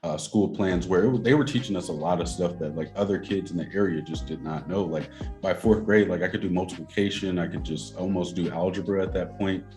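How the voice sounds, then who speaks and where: A man's voice: deep voice, brisk, a little mellow, faint music behind. A young man in casual podcast chat, rambling with lots of "like" and slang as he tells his school story. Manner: loose and rambling, heavy on fillers and slang. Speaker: podcast co-host.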